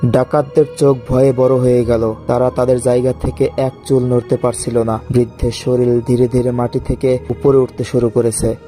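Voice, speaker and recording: A man narrating a horror story in an even, measured manner. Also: mid-range voice, soft background music.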